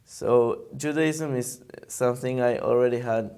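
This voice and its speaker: A young man with an Israeli accent, a camp counselor answering interview questions in careful, halting English as he searches for words. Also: medium pitch, fairly even intonation, darkish tone, smooth. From Argentina, raised in Israel.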